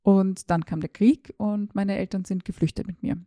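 Woman in her 30s, chatty voice-message style, dark voice, pretty monotone, lots of hesitant ums. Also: medium pitch, normal speed, dry recording, clean studio sound. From former Yugoslavia, raised in Austria. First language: Serbo-Croatian. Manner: chatty and self-ironic, hesitant fillers. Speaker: podcast host, journalist. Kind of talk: casual personal monologue, like a voice message.

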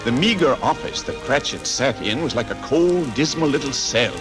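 A man's voice: mid-range voice, lively intonation, normal speed, over music, close to an everyday voice. Vintage radio play narrator male actor: dramatic, relishing storyteller, mid-range voice, musical backing. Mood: dramatic, storytelling. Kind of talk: 1940s radio acting, scripted narration.

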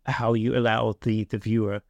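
A man's voice: on the low side, flat delivery, moderate pace, vocal fry, dry recording. Middle-aged man, dry studio sound. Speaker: podcast host. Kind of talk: casual conversational chat.

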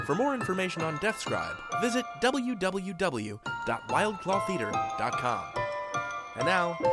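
A man reading a scripted introduction and credits as announcer for an audio drama. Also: on the high side. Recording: loud music under the voice. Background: loud music.

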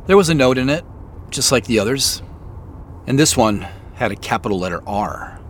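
A man plays an inquisitive amateur sleuth in an audio drama, easygoing and a little sheepish. His mid-pitched delivery swings up and down in lively fashion, with hesitant, conversational understatement. Faint vehicle noise runs behind him. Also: normal speed, natural voice. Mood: quietly excited. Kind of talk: naturalistic audio drama dialogue.

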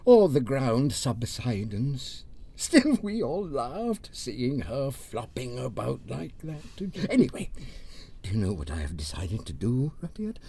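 An actor playing an anxious, self-doubting village mayor with a British accent in a comic audio drama, plaintive, hesitant and rambling. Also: male voice, medium pitch, big pitch swings, slow pace, gravelly, mellow, slightly rough, full character voice. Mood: eager, muddled.

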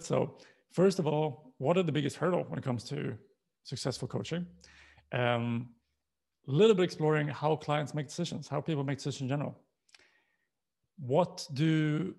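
A man's voice: on the high side, creaky, darkish tone. A man with a Swedish accent presenting a talk in an explanatory manner, posing questions as he goes. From Sweden. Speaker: presenter, coaching co-founder.

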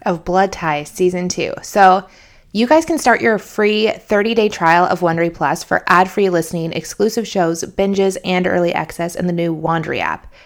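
A woman's voice: on the low side, moderate pace. A woman hosting a podcast, reading a promo in a chatty, informal way. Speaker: podcast host. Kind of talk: casual host-read promo.

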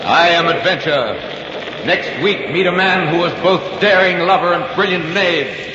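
An actor playing Adventure personified, a dashing, mysterious host, grand and declamatory in a 1940s radio drama. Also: male voice, high voice, unhurried. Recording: echoing, muffled, noisy 1940s radio recording.

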